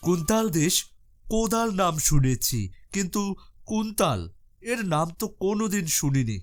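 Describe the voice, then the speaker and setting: Man playing a wry, higher-voiced fairy tale king. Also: animated, moderate pace. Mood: puzzled. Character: wry, dignified king, father of a princess. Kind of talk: animated fairy tale dialogue. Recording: faint music behind.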